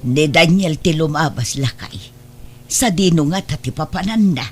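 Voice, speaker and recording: A woman's voice: sits low, expressive, moderate pace, over music. A woman playing a worried, protective older mother in a radio drama, with an admonishing, motherly delivery. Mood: suspicious.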